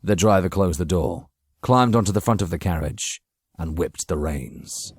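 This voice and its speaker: A man's voice: sits low, normal speed, heavy background noise. A man narrating a dramatic story from a script as an audiobook reader.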